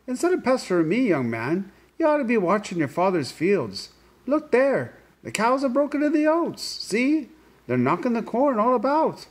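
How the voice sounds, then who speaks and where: A man's voice: wildly swooping pitch, normal speed, full character voice. A man voicing a sly, tiny old male leprechaun shoemaker who speaks sharply and whines, in a storybook reading. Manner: sharp, wheedling, high-pitched.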